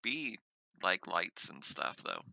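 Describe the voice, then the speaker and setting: A man's voice: deep voice, wildly swooping pitch, slow pace, creaky, bright, forceful tone, no room sound, poor audio. A man chatting casually as a player in a tabletop game on a voice call. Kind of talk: casual chat.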